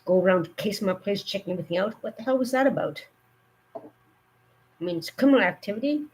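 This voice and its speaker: Hoarse woman giving earnest warnings. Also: medium pitch, animated, fast, low-quality recording. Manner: earnest, rambling with fillers. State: hoarse from a cold. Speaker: live streamer. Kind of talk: casual live-stream talk.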